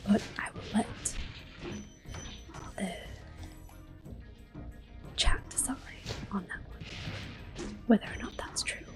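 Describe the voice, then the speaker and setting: A young woman whispering gentle, unhurried commentary while playing a video game for an ASMR video. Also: medium pitch. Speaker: ASMR gaming host.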